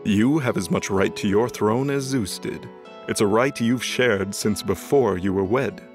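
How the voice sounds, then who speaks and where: A man's voice: on the low side, unhurried, over music, close to an everyday voice. Man playing a haughty, flippant god emperor. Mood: haughty lecturing. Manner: disdainful, theatrical sighing. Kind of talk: dramatized audio fiction.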